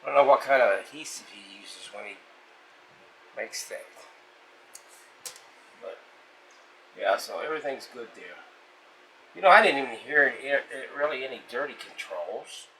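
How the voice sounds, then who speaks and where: A man's voice: medium pitch, expressive, normal speed, pauses often, sharp tone, low-quality recording. A man talking casually and plainly in a colloquial way as he hosts a hands-on restoration vlog from his workshop. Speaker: vintage radio restorer and host. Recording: faint background noise.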